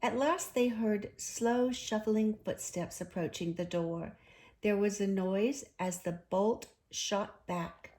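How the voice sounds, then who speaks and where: Woman, children's storybook narrator, slow pace, expressive, deliberate. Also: medium pitch. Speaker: storybook reader.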